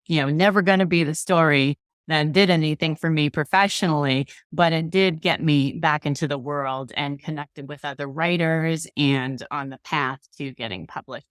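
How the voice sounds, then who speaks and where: A middle-aged woman from Massachusetts, a guest author on a video call, telling her story in a relaxed, reflective way with soft laughs. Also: low-pitched, normal speed, few pauses, dry recording, clean studio sound. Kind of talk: casual interview chat. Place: home room with bookshelves.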